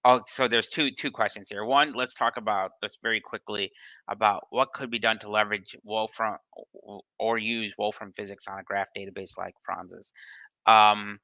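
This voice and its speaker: A man's voice: on the low side, steady tone, normal speed, sharp tone, no echo, highs cut off. A man on a video call answering questions in a casual live session, hesitant, with many ums and restarts. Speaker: study group presenter answering questions.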